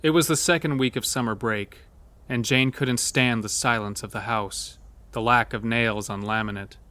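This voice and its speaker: A man's voice: medium pitch, steady tone, moderate pace, bright, forceful tone, no room sound. A man narrating a scripted horror story, with a mid-range voice.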